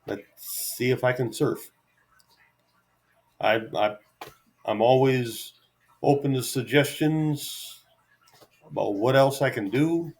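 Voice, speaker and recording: A middle-aged man talks off the cuff to his camera in an average-pitched voice. His delivery is easygoing and halting. He gives an unhurried, self-deprecating ramble rather than a polished script. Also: darkish tone. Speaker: vlogger. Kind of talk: unscripted solo ramble to camera.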